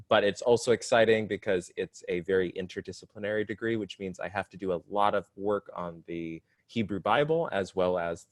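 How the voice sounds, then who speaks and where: Deep-voiced young man explaining things. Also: no room sound. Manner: quick, articulate explaining with self-deprecating humor. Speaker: podcast guest, divinity student. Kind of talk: casual interview chat.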